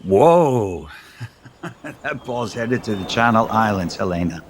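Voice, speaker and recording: A man's voice: on the low side, lively intonation, takes it slowly, noisy background. An actor playing a wry, teasing man in an audio soap opera, bantering and unruffled. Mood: amused teasing. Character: wry, teasing man sparring with an old flame.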